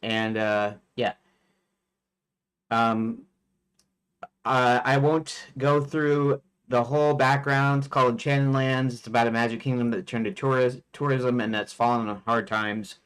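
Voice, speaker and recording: A man's voice: mid-range voice, steady tone, a little slow, fairly bright tone, smooth. A man in his forties, a game developer chatting casually on a live stream, rambling with many fillers and restarts. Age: 40s.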